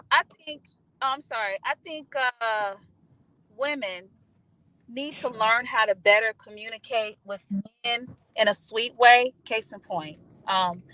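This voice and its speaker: Woman cohosting a dating podcast, bright and upbeat, higher voice, dry close mic. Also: poor audio. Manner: animated, lilting into a sugary voice for examples. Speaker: podcast co-host. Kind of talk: casual chat.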